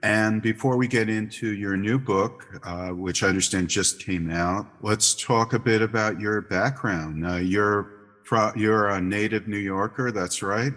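A man hosting a podcast, asking interview questions in a measured, hesitant way with frequent uhs. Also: on the low side, a bit bright, big-room sound.

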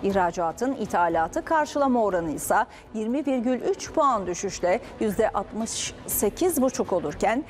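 A young woman reading business news as a studio anchor, in a crisp, measured newsreader delivery. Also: medium pitch, lively intonation, darkish tone, soft background music.

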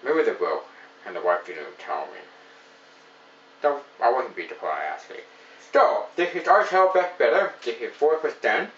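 A man's voice: mid-range voice, sing-song, moderate pace, fairly bright tone, echoey room, distant mic, muffled recording, a little hiss or hum. A man in his thirties from Ontario, reviewing a beer at home in a casual, rambling way, full of "you know" fillers. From Ontario, Canada. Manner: rambling, enthusiastic, many fillers. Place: small home room with shelves of beer.

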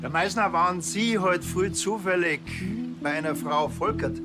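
An actor playing a laconic provincial policeman in a TV crime comedy, casual and deadpan. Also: high voice, normal speed, over loud music, close to an everyday voice.

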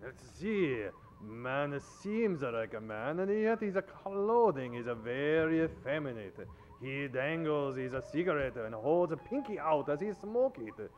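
Crafty chinese general, fake chinese accent, old radio play, high voice, kinda dull lo-fi stage mic. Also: male voice, big pitch swings. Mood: pondering. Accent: put-on Chinese. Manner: measured, formal, broken-English phrasing. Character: wily, observant Chinese general with a mustache. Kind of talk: 1940s radio acting. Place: live stage before standing radio microphone.

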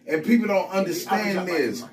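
A middle-aged man bragging and riffing in loud, profane banter inside a small vending room, filmed on a phone. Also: sits high, moderate pace, far from the mic, crowd noise. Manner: loud, brash, profane hype talk with laughter. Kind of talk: boastful rambling banter.

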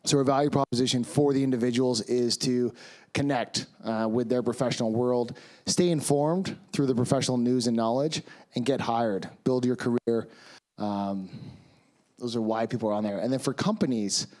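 A man's voice: medium pitch, moderate pace. Canadian man giving a conference talk. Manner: relaxed and conversational, with frequent fillers. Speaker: conference speaker. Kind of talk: conference presentation. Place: large conference hall with audience. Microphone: handheld microphone.

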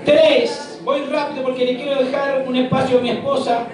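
Argentine preacher shouting in echoey hall. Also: male voice, very high-pitched, flat delivery, moderate pace, recorded from a distance. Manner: fervent preaching with shouts and quips. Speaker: pastor. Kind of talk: energetic sermon. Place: large packed church hall. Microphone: handheld mic. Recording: echoey hall, crowd noise. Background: congregation noise.

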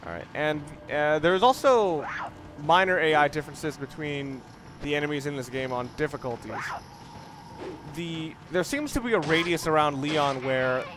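A man giving unscripted gameplay commentary, explaining things in a halting, analytical way. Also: fairly high pitch, noisy background. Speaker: let's play commentator. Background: video game sounds and music.

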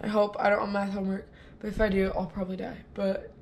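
A woman's voice: medium pitch, monotone, fast, a little hiss or hum. A teenage girl vlogging casually to a handheld camera, rambling with fillers and trailing sentences. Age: teens. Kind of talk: casual vlog chat. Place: inside a car, then a house. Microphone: camera held at arm's length.

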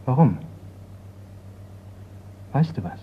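An actor playing a persistent, probing insurance investigator in a radio crime drama. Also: male voice, mid-range voice, big pitch swings, slow pace. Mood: insistent. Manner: probing, insistent. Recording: dull, noisy radio play recording.

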